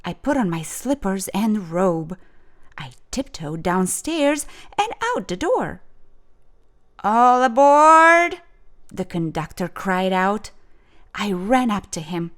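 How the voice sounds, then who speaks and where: A woman reading a children's story aloud in an expressive, deliberate, dramatic storytelling style. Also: mid-range voice. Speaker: children's storybook reader, host.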